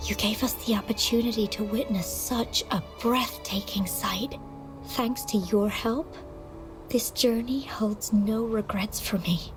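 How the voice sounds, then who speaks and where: Woman playing frail, slow-speaking gentle artist. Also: on the high side, music in the background, lightly acted. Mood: grateful. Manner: soft, halting, earnest. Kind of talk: video game voice acting.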